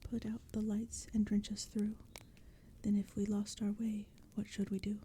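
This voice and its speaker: A woman reading poetry in a soft, breathy ASMR whisper, with a gentle, hushed storytelling manner. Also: mid-range voice, monotone, moderate pace. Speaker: audiobook reader. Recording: faint ambient crackling fire and forest sounds. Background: crackling fire, forest ambience.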